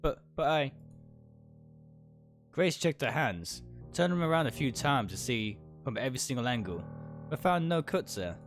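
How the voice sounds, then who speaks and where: A man reads a visual novel aloud for a livestream with lively, swinging intonation. He narrates dramatically in the first person, sometimes tripping on a word and fixing it. Soft guitar music plays behind him. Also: medium pitch, moderate pace. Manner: stumbles over words and corrects himself.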